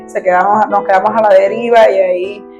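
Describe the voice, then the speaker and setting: A woman hosting an interview, asking gentle, encouraging questions. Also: medium pitch, fairly even intonation, a little fast, soft background music. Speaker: host. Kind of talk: casual interview.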